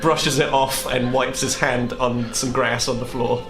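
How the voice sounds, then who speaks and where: A man's voice: medium pitch, little pitch movement, unhurried, music in the background. Man role-playing in an echoey room. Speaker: tabletop game player. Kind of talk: casual improvised role-play narration.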